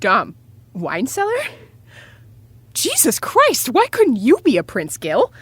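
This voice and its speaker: A blunt, slangy young woman in an audio romcom. Her high voice leaps around excitably and is recorded dry. She delivers everything with brash confidence. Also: slow, breathy edge, no room sound, heavily acted. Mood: incredulous. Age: young adult. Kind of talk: audio drama romantic comedy acting.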